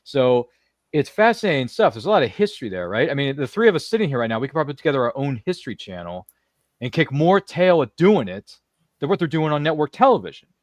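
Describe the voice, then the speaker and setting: A man's voice: fairly high pitch, big pitch swings, quick pace, no room sound, clean studio sound. A man hosting a casual livestream chat in a jokey, chummy, rambling way. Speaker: podcast host.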